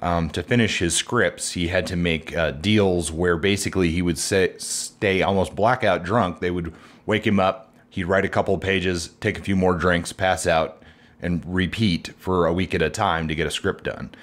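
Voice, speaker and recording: Deep-voiced man hosting a book podcast. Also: steady tone, normal speed. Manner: hesitant, many fillers, steers the discussion. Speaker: podcast co-host. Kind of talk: casual literary discussion.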